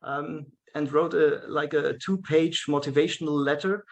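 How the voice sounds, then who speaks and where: German man telling his story with halting pauses. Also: fairly high pitch, fairly even intonation, creaky, dull audio. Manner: hesitant, many fillers and restarts, laughs often. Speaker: guest, event organizer. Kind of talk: casual interview chat.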